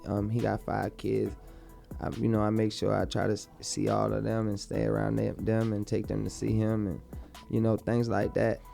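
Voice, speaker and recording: A young man speaks in a laid-back, rambling way, often circling back on his own words. His voice has a gravelly, creaky edge. Faint music plays behind him. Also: on the low side, completely flat delivery, moderate pace, mellow. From Baltimore, born in Dallas. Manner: laid-back, repeats himself a lot. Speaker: rapper guest. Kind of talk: casual interview talk.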